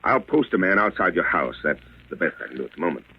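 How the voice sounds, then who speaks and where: Unworried police officer, low rough voice, offhand, fast-talking 1940s radio drama. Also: male voice, very animated, exaggerated voice. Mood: dismissive. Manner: offhand, brusque. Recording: tinny, band-limited old radio recording.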